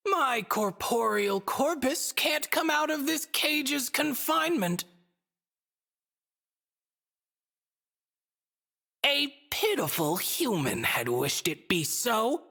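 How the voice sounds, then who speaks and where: A woman's voice: a little high, big pitch swings, slow pace, a bit bright, heavily acted. A woman playing a smug, theatrical stuffed-bunny god in a video game, speaking in mocking, riddling, ornate phrases. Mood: solemn, teasing.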